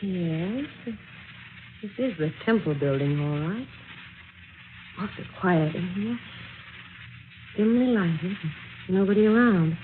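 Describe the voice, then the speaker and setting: A woman's voice: fairly low pitch, animated, slow, pauses often. An actress playing an eager, polite young woman job seeker in an old-time radio drama, earnest and hesitant as she thinks aloud. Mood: uneasy, wondering. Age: young adult. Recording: dull, noisy vintage radio recording.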